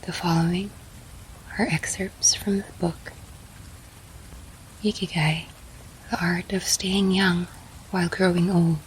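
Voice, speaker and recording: A woman guides a sleep meditation in a soothing, breathy half-whisper. Her voice sits slightly low and stays very even, with an unhurried, slow delivery. Soft rain plays faintly behind her. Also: slight background noise, rough recording.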